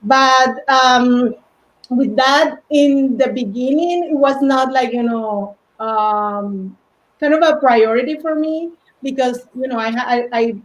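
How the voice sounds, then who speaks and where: Slow halting Colombian woman. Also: sits high. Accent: Colombian Spanish. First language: Spanish. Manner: halting, many fillers and restarts. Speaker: guest, fashion stylist. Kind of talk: casual interview chat. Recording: dull lo-fi audio.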